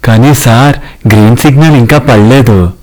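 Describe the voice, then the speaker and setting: A man's voice: medium pitch, moderate pace, darkish tone, lightly acted, poor audio. A man voicing a loyal, respectful driver to a rich boss in a cartoon story. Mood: worried.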